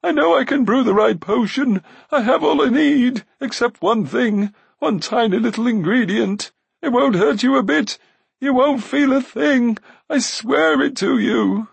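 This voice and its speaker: A man's voice: very high voice, measured pace, creaky, slightly rough, dry recording, voice pushed up, full character voice. A man voicing a cowardly, self-pitying little giant in a fantasy audiobook, pleading and wheedling plaintively. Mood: desperate pleading.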